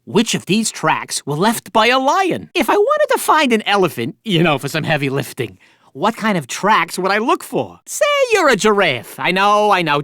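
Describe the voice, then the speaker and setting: A man's voice: high voice, pushed and bright, dry recording, clean studio sound, heavily acted. An actor voicing a wisecracking male meerkat who hosts a video game quiz, chatty and laughing at his own jokes. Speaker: quiz host.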